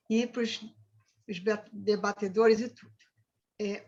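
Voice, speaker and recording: Woman reading formal remarks from home. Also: fairly high pitch. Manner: steady formal reading, small slips. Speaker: architect, club cultural director. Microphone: video call. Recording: dull lo-fi video call audio.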